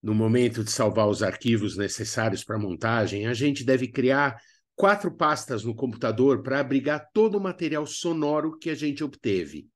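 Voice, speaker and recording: A man's voice: mid-range voice, expressive, normal speed. A man with a Brazilian accent presenting an instructional lesson as a workshop teacher, didactic and emphatic with informal asides. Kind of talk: instructional video lesson narration.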